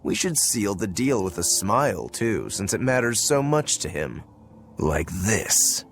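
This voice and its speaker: A man's voice: fairly low pitch, very animated, unhurried, faint music behind. A man playing an easygoing, wry young male demon swordsman in a video game, casual and curious. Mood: wry, amused. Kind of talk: video game cutscene acting.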